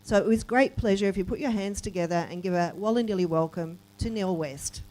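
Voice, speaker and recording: A woman's voice: medium pitch, brisk, a little mellow, a little hiss or hum, low-quality recording. A woman, a councillor formally reading out a guest's profile at a ceremony. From Australia.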